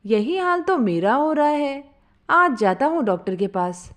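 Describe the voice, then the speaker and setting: A woman's voice: high-pitched, very animated, measured pace, a bit bright, voice pushed up, full character voice. A woman voicing a naive, gluttonous truck driver in a comic story, with a guileless, colloquial manner. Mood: concerned.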